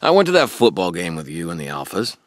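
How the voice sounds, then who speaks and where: A man playing a worn-out, short-tempered man with a very deep voice. He answers in curt, grumbling lines with a dry wryness, read close and dry. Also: wildly swooping pitch, fast talker, dry recording. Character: weary, short-tempered grown man, gruff and wry.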